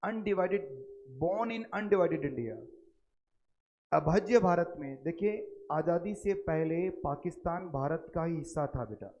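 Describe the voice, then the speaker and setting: A man with an Indian accent teaching a tax lecture, drawing out key English terms slowly and asking his students rhetorical questions. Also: on the high side, a little mellow, dull audio. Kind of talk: classroom lecture. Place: recording studio.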